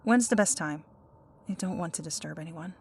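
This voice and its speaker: A woman's voice: fairly low pitch, fairly quick, creaky, a little hiss or hum. A woman playing an exasperated superhero laid up in hospital in an audio roleplay, speaking with dry sarcasm. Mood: polite, weary. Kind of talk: ASMR roleplay audio drama.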